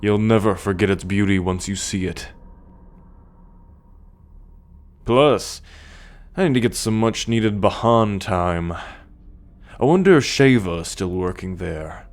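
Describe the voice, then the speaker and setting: A cocky alien scout played by a man speaks straight to the listener in an ASMR roleplay. His voice sits fairly low, and he takes his time with a very slow, teasing drawl. He comes across as a self-assured warrior. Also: natural voice. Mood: teasing, eager.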